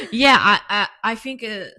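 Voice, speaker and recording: A woman from Italy, co-hosting a casual chat in halting, informal phrasing. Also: fairly high pitch, wildly swooping pitch, bright, forceful tone. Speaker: co-host.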